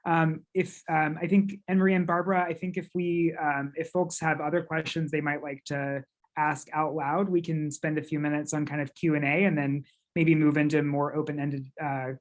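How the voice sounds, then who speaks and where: A woman's voice: sits low, little pitch movement, moderate pace. A woman moderating a webinar informally, relaying questions with hesitant, tentative phrasing.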